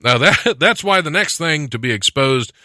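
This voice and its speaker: Animated man doing comedic news banter. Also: on the high side, normal speed, no room sound, clean studio sound. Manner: joking, reading news items with sarcastic asides. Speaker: podcast host. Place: studio.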